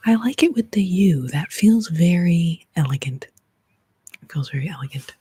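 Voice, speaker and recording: A woman whispering softly into a close mic during a playful, chatty ASMR livestream, repeating soft sounds. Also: lowish voice, expressive, normal speed, breathy edge, no room sound. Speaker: ASMR streamer.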